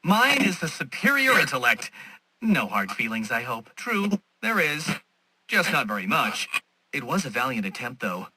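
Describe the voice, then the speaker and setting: Video game villain: superior, taunting intellectual, piercingly bright edge, highly animated delivery, sarcastic and pompous. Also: male voice, normal speed, breathy edge, heavily acted, low-quality recording. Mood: smug, superior. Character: smug, condescending male genius villain, taunting and superior.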